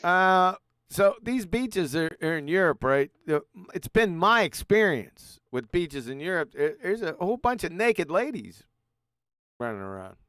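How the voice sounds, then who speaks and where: A man with a fairly high, animated voice bantering on a live stream panel and cutting in with teasing questions. The sound is dry and close to the mic. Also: measured pace, clean tone, no room sound. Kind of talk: casual chat on a live stream.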